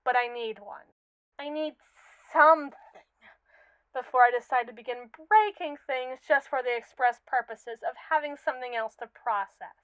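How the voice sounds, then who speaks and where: Woman doing a snooty evil robot lady for asmr roleplay, high smooth voice, wordy and sarcastic. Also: normal speed, a bit bright, no room sound, rough recording. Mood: demanding, bored. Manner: pompous, verbose, theatrically indignant. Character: haughty, manipulative captive female robot, sarcastic and possessive. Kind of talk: scripted ASMR roleplay monologue.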